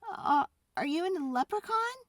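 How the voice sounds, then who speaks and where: Storybook schoolboy played by a woman: excitable, pleading, stammering a little, high voice with big swings. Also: moderate pace, dry recording, clean studio sound. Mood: nervous. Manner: hesitant, stammering, emphatic. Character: young schoolboy Michael, earnest, excitable and pleading.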